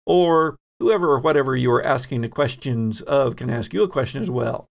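A man runs a tabletop role-playing game as host. His voice sits at a middling pitch with a noticeable fry. He explains as he goes, stopping to correct himself. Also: brisk.

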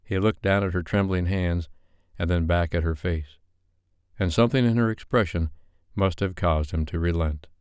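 A man's voice: low-pitched, moderate pace, a little mellow, clean tone, dry recording, clean studio sound. A man narrating a romance audiobook in a scripted, dramatic storytelling style.

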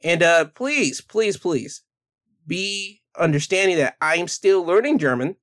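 A man's voice: sits high, expressive, measured pace, fairly bright tone. A man hosting a casual solo podcast, talking loosely with restarts and self-corrections, then reading news articles aloud. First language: English. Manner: rambling, self-correcting, chatty. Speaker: podcast host.

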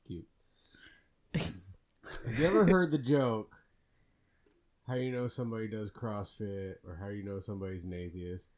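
A man's voice: on the low side, unhurried, long pauses, darkish tone. A man co-hosting a podcast, chatting in a laid-back way and swearing often. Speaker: podcast co-host. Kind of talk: casual chat.

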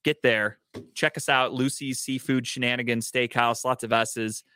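A man with an American accent hosts a casual sports talk show. His delivery is blunt and sweary, at a medium pitch, in a dry recording with very little room sound. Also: steady tone, normal speed, pushed and bright, clean tone, no room sound. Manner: blunt, profane. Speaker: show host.

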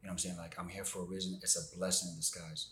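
A man's voice: deep voice, level tone, very fast, bright, forceful tone, roomy sound, slight background noise. A man telling his story in casual podcast chat, rambling with lots of "you know what I'm saying". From born in Argentina, raised in Maryland. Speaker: guest artist.

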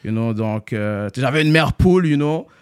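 A man's voice: medium pitch, lively intonation, fairly quick, clear, resonant tone. A man in his thirties, born in Haiti and raised in Quebec, chatting casually as a rapper guest, with a Quebec French accent full of English fillers. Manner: laid-back, peppers speech with "you know" and "mon gars". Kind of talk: casual podcast chat.

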